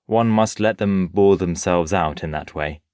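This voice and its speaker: An actor playing a worldly, unruffled Parisian nobleman in an audio play, urbane and gently patronising. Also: sits low, measured pace, no room sound, clean studio sound. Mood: amused.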